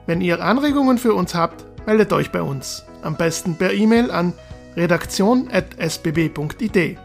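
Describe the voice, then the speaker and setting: A man's voice: high-pitched, animated, moderate pace, creaky, faint music behind. A man, hosting a podcast interview and asking short, friendly questions. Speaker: podcast host, editor-in-chief.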